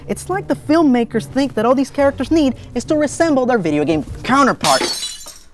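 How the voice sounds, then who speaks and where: A man hosting a scripted comedic film review in an animated, sarcastic manner. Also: very high voice, moderate pace, slight background noise. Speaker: video reviewer, show host.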